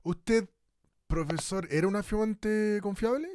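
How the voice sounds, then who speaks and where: A man's voice: high voice, slow pace, darkish tone, clear, resonant tone, no room sound, clean studio sound. A man with a Chilean accent in a radio drama, playing an easily flustered radio host. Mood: formal. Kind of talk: Spanish-language radio drama acting.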